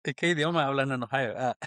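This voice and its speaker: A man hosting a podcast, asking quick, short questions to keep the conversation going. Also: a little high, a bit bright, no room sound. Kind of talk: casual interviewing.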